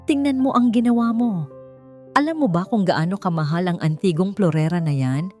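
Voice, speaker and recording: A woman's voice: lowish voice, normal speed, a little mellow, soft background music, natural voice. A woman voicing an imperious, furious mother-in-law who scolds with contempt in a dramatic audio story. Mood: scornful, angry.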